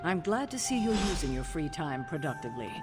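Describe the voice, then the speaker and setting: A woman playing a stern, commanding older guardian in a video game cutscene, grave, deliberate and authoritative. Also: sits low, sing-song, over music. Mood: dry, businesslike.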